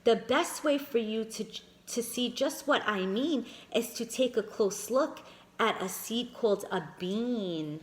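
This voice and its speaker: A woman's voice: fairly high pitch, unhurried. A woman reading a picture book aloud to young children, stopping to point out the pictures and calling her listeners friends. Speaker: children's storyteller. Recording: echoey room.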